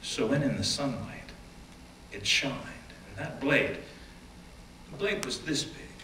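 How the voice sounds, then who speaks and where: A man's voice: mid-range voice, takes it slowly, bright, forceful tone. Man reading aloud in an echoey hall. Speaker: reader at a dramatic reading. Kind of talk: first-person dramatic reading of an oral history. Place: stage or event room. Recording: roomy, distant mic with faint background noise.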